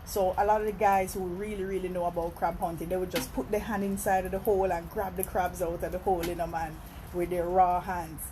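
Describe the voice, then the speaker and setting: A woman from Jamaica with a Jamaican accent, chatting casually on a phone live stream at a seafood restaurant and breaking off her stories to give viewers shout-outs. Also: lowish voice, little pitch movement, a little fast, noisy background. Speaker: vlogger.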